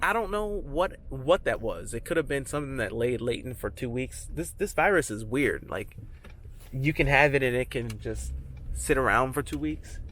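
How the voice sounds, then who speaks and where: A man's voice: medium pitch, lively intonation, moderate pace, a bit bright, slight background noise. Congested man rambling to an outdoor camera. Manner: rambling, frank, many restarts. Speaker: vlogger, lawn care business owner. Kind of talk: casual vlog talk to camera. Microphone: action camera.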